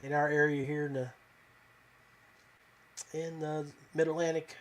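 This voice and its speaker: A man from West Virginia hosting a fishing podcast in a casual, folksy, halting way. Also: a little high, little pitch movement, slight background noise. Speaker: podcast host.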